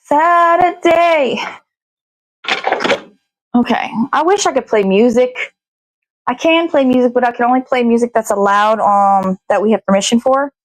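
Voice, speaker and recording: A woman's voice: a little high, a little slow, pauses often, poor audio. A woman chatting casually as she cooks in her kitchen, rambling and thinking aloud with little sing-song asides. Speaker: show host. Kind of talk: casual chat while cooking. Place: home kitchen.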